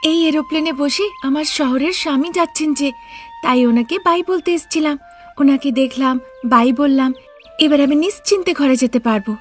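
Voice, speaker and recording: A very high-pitched young village bride from a cartoon tale, played by a woman. She sounds plaintive and wistful, pining for her husband, with faint music behind her. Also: moderate pace, lightly acted.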